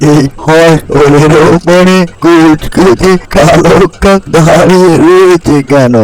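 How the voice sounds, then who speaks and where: Slow-talking scolding old granny, voiced by a man. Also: on the high side, a little mellow, low-quality recording. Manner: slow, drawn-out, exclamatory delivery. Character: scolding old village granny guarding her tamarind tree. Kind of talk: cartoon fairy-tale acting.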